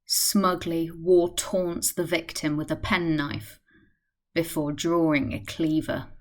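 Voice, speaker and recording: A woman's voice: deep voice, level tone, takes it slowly, a little mellow. A woman reading scripted scene narration as the narrator of a radio play.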